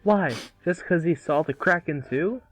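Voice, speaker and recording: A man voicing an eager, excitable young boy who exclaims a lot, in an amateur table read of a cartoon. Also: fairly high pitch, unhurried, dry recording, soft background music, poor audio. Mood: excited.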